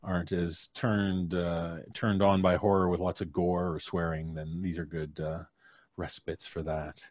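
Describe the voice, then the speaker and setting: A man with a low voice hosts a relaxed, informal live stream. The sound is dry, with very little room in it, and he speaks as a host addressing guests and viewers. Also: measured pace, dry recording. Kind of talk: casual live stream sign-off chat. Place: indoors at home, remote group call.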